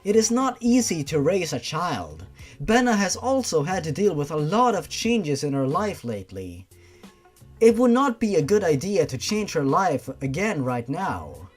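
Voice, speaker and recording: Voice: medium pitch, very animated, a little slow, slightly rough, faint music behind. Narrator voicing earnest, firm young woman. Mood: firm concern. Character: reasoning, concerned young woman, gentle but firm.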